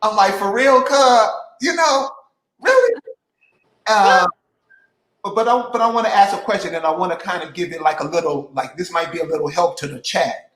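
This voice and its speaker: A man's voice: high voice, expressive, moderate pace, fairly bright tone, echoey room, a little hiss or hum, rough recording. A man hosting a casual interview, blunt and profane, repeating himself to press his guest. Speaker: host.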